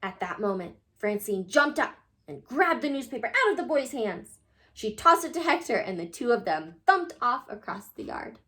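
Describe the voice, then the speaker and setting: A woman storyteller reads a children's book aloud. Her voice sits a bit high and moves up and down a great deal, giving the narration an expressive shape. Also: moderate pace.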